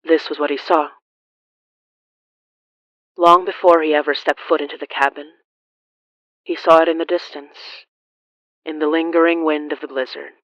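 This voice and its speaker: A horror storyteller delivers scripted narration in a mid-pitched voice. The delivery is solemn and deliberate, broken by dramatic pauses. The recording sounds somewhat dull and closed-in. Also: level tone, a bit bright, no room sound. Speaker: narrator of a horror fiction podcast.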